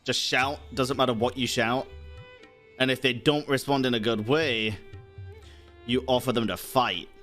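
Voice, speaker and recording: A male game streamer with a mid-pitched voice, chatting casually as he plays. His asides are joking and sarcastic, with some music in the background. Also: fairly even intonation, unhurried, pauses often, fairly bright tone. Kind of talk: casual live commentary over gameplay.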